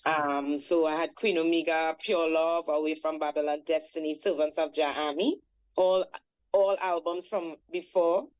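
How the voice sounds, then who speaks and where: A woman's voice: low-pitched, steady tone, moderate pace, a little mellow, clean tone. Trinidadian woman telling her story, dull radio sound. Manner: reflective, storytelling with many "you know" fillers. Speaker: reggae singer, guest. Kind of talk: radio interview.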